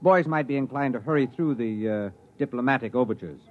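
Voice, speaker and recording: Starship commander, played by a male actor: mid-pitched man, measured and commanding, 1950s radio drama, muffled old recording. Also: close to an everyday voice. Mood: measured, grave. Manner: authoritative, deliberate military formality.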